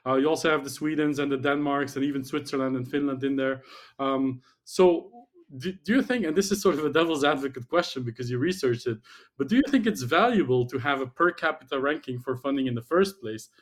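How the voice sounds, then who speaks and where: A man's voice: mid-range voice, a little fast. A man hosting an interview, asking questions in a conversational way. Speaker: host, interviewer.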